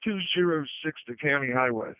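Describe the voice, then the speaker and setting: A man working on a road crew, checking in over two-way radio in a casual, halting way. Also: on the high side, lively intonation, no room sound. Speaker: public works road crew driver. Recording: tinny narrowband radio transmission.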